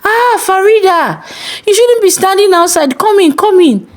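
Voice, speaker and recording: A woman playing a smooth, fatherly older Nigerian pastor in a told story. The pitch swings widely as he coaxes, with a familiarity that is quietly predatory. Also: animated, moderate pace. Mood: cheerful, coaxing. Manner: coaxing, casually over-familiar. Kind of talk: acted dialogue in a narrated folk tale.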